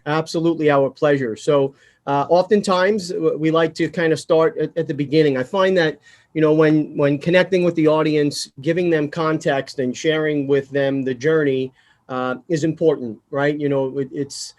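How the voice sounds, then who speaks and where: A man hosting a podcast interview in a conversational style, phrasing his questions in a halting, thoughtful way. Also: fairly high pitch, fairly even intonation, darkish tone. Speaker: podcast host.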